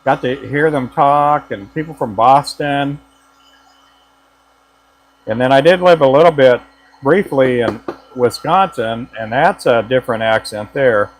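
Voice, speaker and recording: A man's voice: mid-range voice, unhurried, long pauses, slight background noise, low-quality recording. A man from the United States chatting casually and joking with viewers while he works, laughing often. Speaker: lure maker and channel host. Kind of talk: casual live chat while working.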